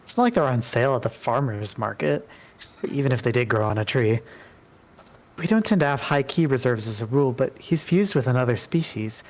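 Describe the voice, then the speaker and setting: A man's voice: medium pitch, animated, fairly quick, highs cut off, lightly acted. A man voicing a cautious, tactical female spellcaster with a hesitant, analytical manner in an amateur dramatic reading. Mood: thoughtful.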